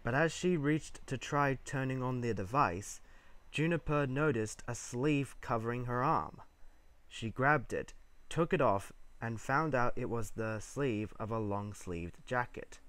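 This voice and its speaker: A man narrates a scripted story. His voice sits at a medium pitch, and he reads as the storyteller between the characters' lines. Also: unhurried, fairly bright tone.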